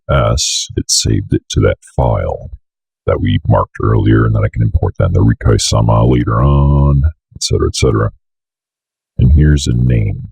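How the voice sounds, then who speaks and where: Very deep, gravelly young man. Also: dark tone, no echo. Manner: informal, offhand, swears casually. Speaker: tutorial host. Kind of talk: casual screencast tutorial. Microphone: close desk microphone on an arm.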